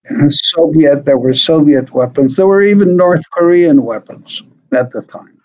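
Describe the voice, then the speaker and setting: A man answering interview questions slowly, with hesitant fillers and restarts. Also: fairly high pitch, darkish tone, dull audio. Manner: slow, halting, with many fillers. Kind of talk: recorded interview.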